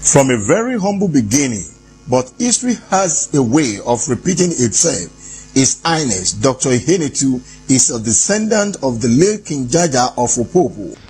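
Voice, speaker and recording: A man's voice: mid-range voice, big pitch swings, moderate pace, darkish tone, breathy edge, faint music behind, poor audio. A man reading scripted documentary narration in a grand, formal manner.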